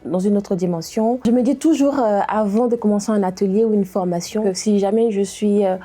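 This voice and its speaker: A woman in her thirties from Côte d'Ivoire speaks in a very mellow, dark-toned voice. She tells her story slowly and with care, pausing often and filling the gaps with hesitations. Soft music plays faintly behind her. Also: medium pitch. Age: 30s. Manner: hesitant, many pauses and "euh" fillers. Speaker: diversity and inclusion trainer. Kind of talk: interview testimony to camera.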